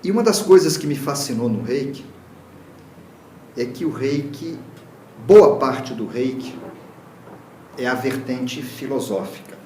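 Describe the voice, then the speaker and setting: Brazilian male lecturer, earnest, mid-pitched, echoey hall with faint background noise. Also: expressive, measured pace, pauses often, a little mellow. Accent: Brazilian Portuguese. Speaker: lecturer, Reiki teacher. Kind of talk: public talk. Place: lecture room.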